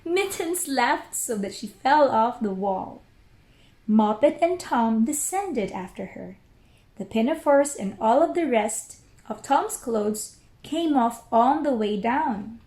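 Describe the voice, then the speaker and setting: A woman reads a children's story aloud with careful pauses. Her voice is fairly high and very expressive, taken at an unhurried pace in an echoey room. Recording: roomy echo.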